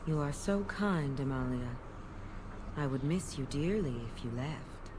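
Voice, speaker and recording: A low-voiced, seductive female demon in cat form for a fantasy game. She speaks in silky, formal, coaxing phrases over clear background noise. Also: expressive, measured pace. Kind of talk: video game character acting.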